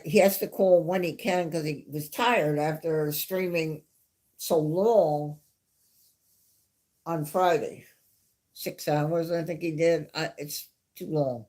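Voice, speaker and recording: A woman with a New York accent hosting a casual live stream, chatting in a rambling way and laughing softly now and then. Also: low voice. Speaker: livestream host.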